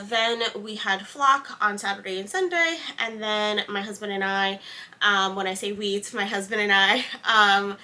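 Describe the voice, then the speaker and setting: A young woman from the East Coast with a noticeably congested voice hosts a knitting podcast from a seat at home. She rambles without notes, filling gaps with ums. Her tone is bright and crisp at a middle pitch. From East Coast of the United States. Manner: rambling, unscripted, many ums. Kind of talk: casual sit-down chat. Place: home, seated indoors.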